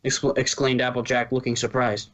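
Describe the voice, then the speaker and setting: A man's voice: mid-range voice, flat delivery, brisk, fairly bright tone, slight background noise. A man narrating fan fiction informally, stumbling over words now and then, on a muffled home recording.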